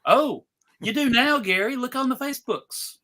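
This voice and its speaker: A host casually running a live event, announcing in a theatrical, mock-serious way with halting pauses. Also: sits high, pushed and bright. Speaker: panel host and narrator.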